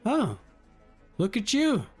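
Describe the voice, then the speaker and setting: A man with a somewhat higher-than-average voice reacts live as he reads along. He is casual and exclamatory, talking loosely to his viewers. Faint music plays behind him. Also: wildly swooping pitch, slow, breathy edge. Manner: exclamatory, informal, mildly profane. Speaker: reactor reading a webtoon aloud on a livestream. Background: background music.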